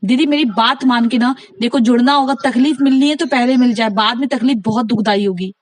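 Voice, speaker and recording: A woman's voice: fairly high pitch, a little fast. A woman talking casually in a recorded phone conversation, giving advice insistently and repeating herself for emphasis.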